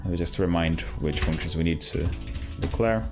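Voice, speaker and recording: A man hosting a live coding stream, talking casually and haltingly as he thinks aloud and asks himself questions. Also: sits low, music in the background. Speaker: livestream host, programmer.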